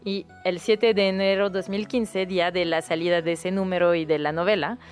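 French-accented woman guest, halting and thoughtful, low-ish voice, soft music underneath. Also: fairly even intonation. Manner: hesitant, frequent restarts and fillers. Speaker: guest book recommender. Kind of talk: casual radio interview.

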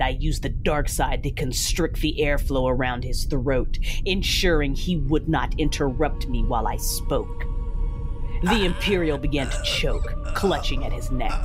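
A woman playing a cold, vengeful dark ruler with a husky voice, narrating a space fantasy audio drama. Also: rumbling low. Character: cold vengeful Sith ruler, seething and menacing.

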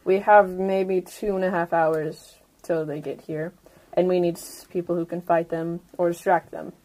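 A woman improvising in character as a player in a tabletop role-playing game, brisk and no-nonsense. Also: lowish voice, fairly even intonation, a little mellow, low-quality recording.

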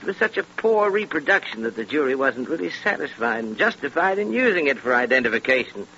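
Sharp-voiced seasoned British police inspector. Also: male voice, mid-range voice, big pitch swings, a little fast. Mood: reflective, assured. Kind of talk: 1930s radio acting. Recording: noisy, muffled 1930s radio recording.

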